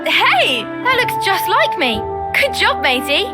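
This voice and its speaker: A woman's voice: very high voice, big pitch swings, takes it slowly, over loud music, exaggerated voice. A woman voicing a cheeky, brash, upbeat youngster in a video game, blunt and slangy in manner. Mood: teasing, surprised. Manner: blunt, slangy, British matey phrasing. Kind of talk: video game cutscene acting.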